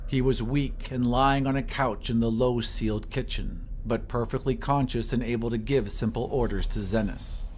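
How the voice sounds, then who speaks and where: A male narrator reads a horror story in a measured, literary way. His voice sits at a medium pitch. Noticeable ambient noise runs underneath the narration. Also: fairly even intonation, a little mellow. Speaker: audiobook narrator. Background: ambient sound effects.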